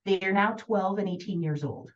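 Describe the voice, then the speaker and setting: A woman from the United States, a parent of two children, reading a prepared statement in a steady, composed way. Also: medium pitch, moderate pace, distant mic, lo-fi sound.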